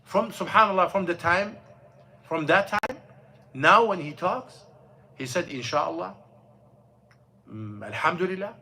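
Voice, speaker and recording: Bright-voiced man telling a story. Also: high-pitched, expressive, a little hiss or hum. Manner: storytelling, halting with many fillers and restarts. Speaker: imam. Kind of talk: mosque class talk. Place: mosque classroom.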